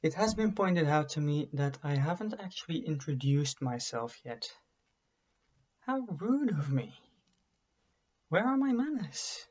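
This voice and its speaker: Young man, wry vlogger, slightly high voice, muffled webcam sound. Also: very animated, measured pace, long pauses, a little mellow. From Belgium. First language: Dutch. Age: young adult. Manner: dry, self-deprecating humor. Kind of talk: casual self-introduction to camera. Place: bedroom study with bookshelves, indoors. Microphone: webcam at desk. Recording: dull lo-fi webcam audio.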